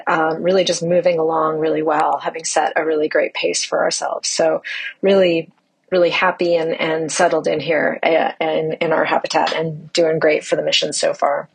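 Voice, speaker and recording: A woman's voice: deep voice, vocal fry, husky, poor audio. A woman speaking as a mission commander, giving an informal audio log update in an upbeat, emphatic manner. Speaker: mission commander of a Mars analog crew.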